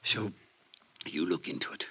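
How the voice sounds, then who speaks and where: A man's voice: moderate pace, bright, forceful tone, lightly acted. An actor playing an ailing, short-winded old male convict, eager and pleading, talking in conspiratorial street slang in a 1950s radio drama. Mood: urgent, pleading. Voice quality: raspy, breathy, gasping. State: weak, coughing, short of breath.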